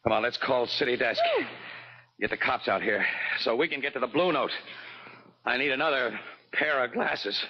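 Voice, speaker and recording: A man's voice: medium pitch, moderate pace, close to an everyday voice. An actor playing a tough, cool-headed, terse newspaperman in a 1940s radio drama. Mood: wry, relieved. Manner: terse, commanding. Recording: noisy, muffled old radio recording.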